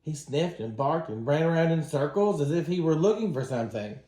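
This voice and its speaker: A man with a somewhat high voice reads a children's storybook aloud. He sounds like a teacher, phrasing it dramatically for young listeners. The recording is noticeably roomy and echoing. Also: expressive, a little fast, dark tone. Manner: dramatic, acts out dialogue. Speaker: teacher reading to students.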